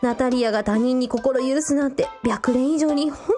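A woman's voice: high voice, normal speed, warm tone, faint music behind, natural voice. A woman playing a teasing, sociable young elder sister in a radio drama, with a casual, playful way of talking. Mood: fond, explaining.

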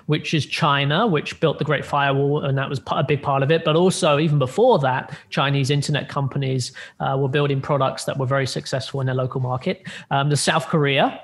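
A man's voice: mid-range voice, fairly even intonation, fairly quick, few pauses. A man in his thirties, a guest tech analyst on a video call, explaining points with many fillers and restarts. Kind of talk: conversational interview answers. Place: home room with plain light blue wall. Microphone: video call with earbuds.

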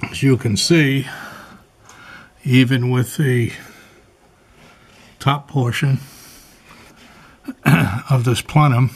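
Middle-aged man, DIY vlogger: slow, rambling how-to talk, mid-pitched, clears his throat a lot, camera mic in a garage workshop. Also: fairly even intonation. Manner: unhurried, rambling, lots of uhs and throat clearing. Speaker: DIY home repair vlogger. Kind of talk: casual how-to narration. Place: garage workshop and attic crawl space, indoors.

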